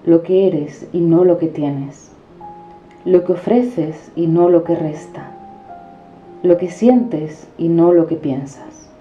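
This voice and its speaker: A woman declaiming poetic prose in a solemn, measured recitation. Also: fairly low pitch. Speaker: poetry reciter. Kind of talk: declaimed spoken-word poetry. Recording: dull reverberant recording over music. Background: background music.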